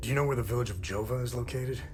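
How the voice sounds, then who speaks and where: A man's voice: mid-range voice, racing, darkish tone, faint music behind, natural voice. An actor playing a terse, matter-of-fact traveller in a video game, a man asking direct questions. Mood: curious, matter-of-fact. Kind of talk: video game dialogue acting.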